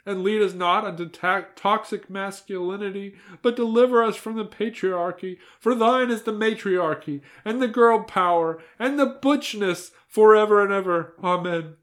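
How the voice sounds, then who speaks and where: Man puts on an elderly grandma, way up in falsetto, fervent prayer delivery. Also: normal speed, hardly any pauses, a bit bright. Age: older.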